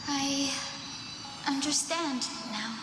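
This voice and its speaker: A woman playing a gentle, earnest young healer in a video game, speaking in a formal, soothing manner. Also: high voice, very slow. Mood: tender, solemn. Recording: echoey reverberant hall, game music behind.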